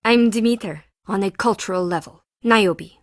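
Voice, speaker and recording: A woman's voice: medium pitch, moderate pace, dry recording, clean studio sound, natural voice. An actress playing a timid, defensive woman artist and forger in a video game, with intense, theatrical delivery in clipped phrases. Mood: defensive, guarded. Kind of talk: scripted video game character dialogue.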